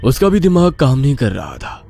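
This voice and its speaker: A man's voice: mid-range voice, huge pitch swings, moderate pace, vocal fry, mellow, breathy edge. Dramatic male horror narrator, music behind. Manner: suspenseful, theatrical. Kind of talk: dramatic scripted horror story narration in Hindi.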